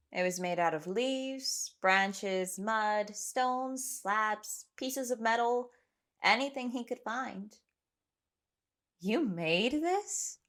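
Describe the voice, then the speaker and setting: Measured, slow-paced young woman castaway. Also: on the high side, fairly bright tone. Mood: reflective, then questioning. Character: young woman castaway, troubled, searching amnesiac narrator. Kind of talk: dramatic first-person audio drama narration.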